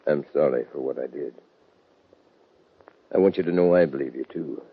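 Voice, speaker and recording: Very low, gruff rancher uncle. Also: male voice, moderate pace. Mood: sober, regretful. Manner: plain, subdued, laconic. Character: gruff, protective, plain-spoken older rancher uncle. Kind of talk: 1950s radio western acting. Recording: tinny vintage 1950 radio recording.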